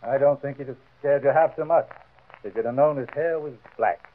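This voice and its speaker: An actor playing a worldly, matter-of-fact police inspector in a 1940s radio drama, wry, slangy and hard-boiled. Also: male voice, mid-range voice, brisk, lightly acted. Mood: wry, dismissive. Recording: tinny vintage radio recording.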